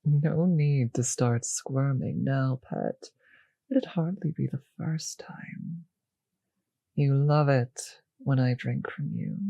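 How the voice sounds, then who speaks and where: A woman acts out a refined female vampire for an intimate audio drama, possessive and predatory. Her voice is husky and close, recorded very dry, with an unhurried pace. She teases with condescending endearments and slips into whispers. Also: rumbling low, mellow, no room sound, lo-fi sound, exaggerated voice. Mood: hungry, seductive. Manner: slow, condescending, teasing endearments, drifting into whispers.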